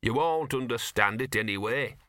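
A man's voice: medium pitch, lively intonation, unhurried, sharp tone, dry recording, studio quality. A man voicing a mournful, grumbling, streetwise talking dog in an audiobook, with colloquial, long-suffering speech. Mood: grumbling, resigned. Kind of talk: audiobook character voice.

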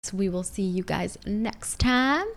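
A woman's voice: mid-range voice, measured pace. A young woman with a New York accent, co-hosting a casual podcast and swearing freely. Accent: New York with Dominican Spanglish influence. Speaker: podcast co-host. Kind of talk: casual chat.

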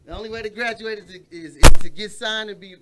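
A man's voice: high-pitched, fast, crowd noise. A man hosting a casual chat, talking in slangy, blunt and joking language. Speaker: show host.

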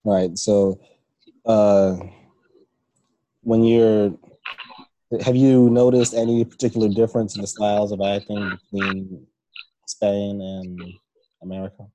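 Man, interview host, very low voice, mellow, dry close sound, hesitant fillers. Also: little pitch movement, slow, long pauses, dry recording, low-quality recording. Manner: asks questions with hesitant fillers. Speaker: podcast host. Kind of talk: conversational interview.

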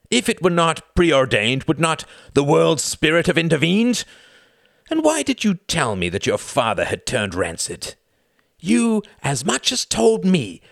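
A man voicing an older, cruel and mocking male Nazi count who shouts and cackles. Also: on the high side, creaky, dry recording, studio quality, voice pushed up, full character voice.

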